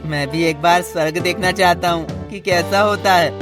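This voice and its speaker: A man's voice: high-pitched, normal speed, music in the background, lightly acted. Man voicing a naive, earnest cartoon parrot. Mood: curious. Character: naive, trusting male parrot. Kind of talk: Hindi animated moral story acting.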